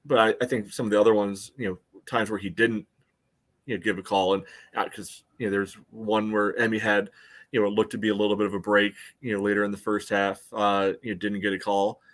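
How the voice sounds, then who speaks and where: An American man with a fairly low voice works through sports analysis as a podcast co-host. He speaks casually, in a measured, conversational manner. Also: flat delivery, a bit bright. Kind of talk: casual soccer match analysis.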